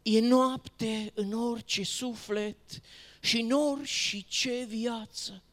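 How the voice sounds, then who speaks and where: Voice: mid-range voice, fairly even intonation. A person reciting religious verse in a solemn, declamatory, dramatic manner. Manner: solemn, declamatory, with rhetorical questions and exclamations. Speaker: poetry reciter. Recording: long hall reverb.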